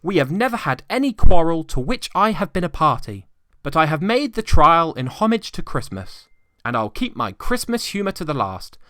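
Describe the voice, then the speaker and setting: A man voicing a cheerful, warm-hearted younger nephew, pleading earnestly in a storybook reading. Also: a little high, very animated, moderate pace, no echo, studio quality, pitched above the performer's own voice. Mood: heartfelt cheer. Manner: pleading, exclamatory.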